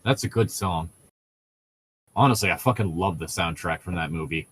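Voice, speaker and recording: A man co-hosting a casual chat, rambling through stories in an excitable, profane and laughter-prone way. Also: sits low, normal speed, slight background noise.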